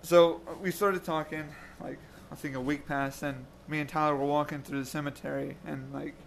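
A young man telling a story in a rambling, halting way, with short laughs. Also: a little high, level tone, creaky, slight background noise, rough recording. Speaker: podcast co-host. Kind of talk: casual chat.

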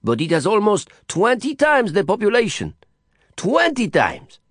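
A man's voice: high voice, huge pitch swings, measured pace, fairly bright tone, no echo, studio quality, pitched above the performer's own voice, full character voice. A man voicing a worldly, witty Frenchman, talking in a grand, lecturing, emphatic way. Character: worldly older Frenchman, witty, theatrical, expansive.

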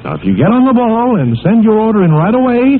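A man's voice: sits high, big pitch swings, fast, phone-quality sound, slight background noise. A man announcing and narrating in emphatic, punchy 1940s radio style for young listeners, heard on an old broadcast recording. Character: peppy announcer of a boys' radio adventure serial.

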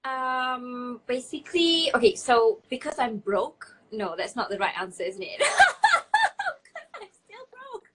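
A woman's voice: a little high, big pitch swings, measured pace. A woman from Malaysia chatting casually as an interview guest, playful and self-deprecating, on a video call. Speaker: interview guest, startup managing director. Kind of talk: casual chat. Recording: video call with faint background noise.

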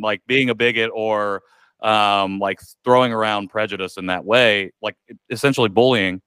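A man's voice: fairly low pitch, sharp tone, smooth, no echo. A man, a podcast guest telling a candid personal story in a reflective way, with many likes and ums. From United States.

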